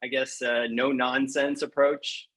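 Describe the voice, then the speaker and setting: A man speaking as a guest poet in a measured, reflective, slightly hesitant way, as at a reading or interview. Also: mid-range voice, little pitch movement, fairly bright tone. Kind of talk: poetry reading and conversational interview.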